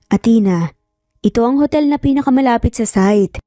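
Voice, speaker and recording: A woman's voice: fairly high pitch, normal speed, warm tone, no echo, lightly acted. A young father from a romance story, voiced by a woman. He is cocky and teasing, with a mock-grumpy edge. The recording is dry, with faint music behind. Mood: smirking, teasing. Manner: teasing, nonchalant.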